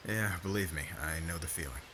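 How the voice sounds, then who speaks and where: A man's voice: low voice, fast, noisy background, lightly acted. A man playing a laid-back, devoted young warrior husband in an ASMR romance roleplay, talking in casual slang. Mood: polite, defensive. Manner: casual slangy talk, stammers when flustered.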